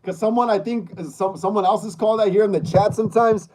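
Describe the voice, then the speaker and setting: A man's voice: sits high, steady tone, fast talker, a little mellow, poor audio. A man in his thirties hosting a casual livestream, reading viewer comments aloud in a laid-back way and often stammering and repeating himself. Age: 30s. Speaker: livestream host.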